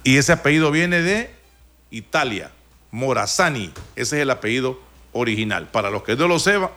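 A man's voice: medium pitch, expressive, moderate pace, bright, forceful tone. A man from Honduras with a Honduran Spanish accent, hosting a casual radio talk show and telling stories with many restarts and fillers. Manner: rambling, storytelling with frequent restarts and fillers.

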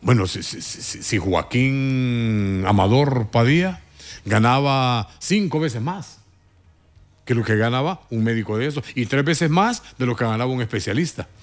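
A man hosting a morning radio talk show, commenting with indignant emphasis and sudden rises in pitch. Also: measured pace, faint music behind.

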